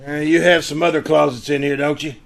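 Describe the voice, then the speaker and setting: Gravelly, sardonic police inspector, played by a man. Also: a little high, level tone, fast. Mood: dry, suspicious. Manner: sardonic, accusing. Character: gruff, suspicious male police inspector searching an apartment. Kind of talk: old-time radio drama acting. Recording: faintly noisy video call recording.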